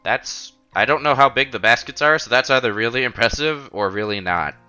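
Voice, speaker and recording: A man streaming a visual novel, reading the story aloud with casual, playful, expressive commentary. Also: mid-range voice, fairly quick. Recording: dull lo-fi sound, music behind.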